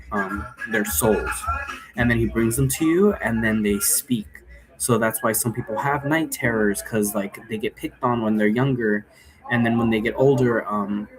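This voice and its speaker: A man hosting in a casual, rambling, explanatory way, halting often and checking in with the listener. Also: on the low side, rough recording. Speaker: host explaining role-play game rules. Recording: noticeable background noise.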